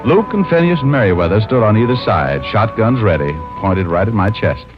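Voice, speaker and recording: A wisecracking American private eye in a vintage radio mystery. His voice is on the low side and animated, with a wry, self-deprecating edge. The old recording is dull, with music behind. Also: brisk. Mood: wry narration. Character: wry, wisecracking big-city male private detective. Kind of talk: 1940s-50s radio detective acting and narration.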